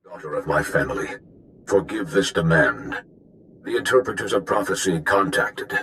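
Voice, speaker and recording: A man's voice: deep voice, lively intonation, normal speed, very noisy. An actor playing a dignified, deferential alien kinsman in a video game, delivering messages in formal, grave, clipped sentences. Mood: grave, respectful. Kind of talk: dramatic video game acting.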